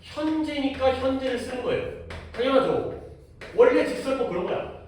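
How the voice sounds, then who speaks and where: A man's voice: extremely high pitch, normal speed, a little mellow, a little hiss or hum. Man teaching grammar in an echoey room. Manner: casual explaining, asks students questions. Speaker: English teacher. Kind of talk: classroom grammar lesson. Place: classroom. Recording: echoey classroom from far off.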